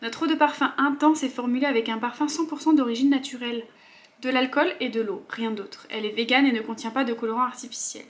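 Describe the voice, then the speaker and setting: A young woman chatting casually as she unboxes beauty products at home, with quick asides and murmured afterthoughts. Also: a little high, fairly even intonation. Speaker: beauty vlogger.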